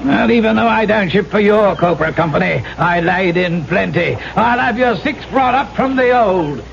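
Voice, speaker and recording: An actor playing a boisterous, canny ship's captain in an old-time radio drama, with a gravelly voice and a hearty, jocular manner. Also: male voice, high-pitched, lively intonation, normal speed. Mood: hearty, bargaining. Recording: dull, noisy old radio recording.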